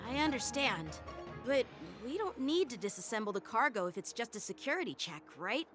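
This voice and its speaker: A woman voicing a composed, tactful young envoy in a video game, polite, measured and conciliatory. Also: on the high side, very animated, music in the background.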